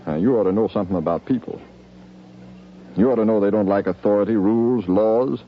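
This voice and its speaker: An actor playing a stern, world-wise American police chief in a 1950s radio drama, commanding and plain-spoken. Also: male voice, fairly low pitch, a little fast, close to an everyday voice. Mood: grave, instructive. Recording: noisy, muffled 1950s radio recording.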